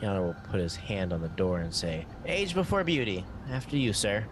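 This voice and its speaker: A man's voice: on the low side, very animated, a little fast, noisy background. A man playing a tabletop roleplaying game, switching casually between table chat and speaking as his character.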